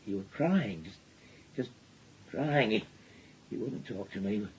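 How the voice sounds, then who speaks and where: An actor playing a middle-aged, weary, blunt working-class father with a Northern English accent, speaking haltingly and heavy-heartedly in a radio drama. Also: fairly low pitch, lively intonation, slight background noise, rough recording. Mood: grief-stricken. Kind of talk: naturalistic British radio drama.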